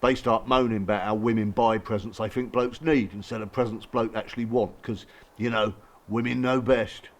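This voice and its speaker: An actor playing a blunt, bruised working-class support group regular in a radio drama, colloquial, sardonic and probing. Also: male voice, lowish voice, fairly even intonation, moderate pace, close to an everyday voice. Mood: joking, bitter. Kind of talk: naturalistic radio drama acting.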